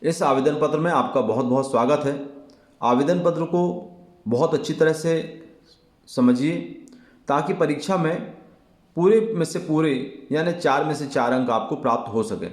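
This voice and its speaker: A man teaching an exam lesson in a deliberate, explanatory way, repeating key phrases as he goes. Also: fairly high pitch, a little mellow. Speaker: Hindi teacher. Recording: echoey, reverberant room.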